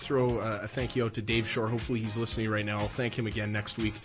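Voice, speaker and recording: Male hockey radio panelist, jokey and animated, dull broadcast audio, faint music bed. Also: lowish voice, fast. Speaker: radio show co-host. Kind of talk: casual sports radio talk.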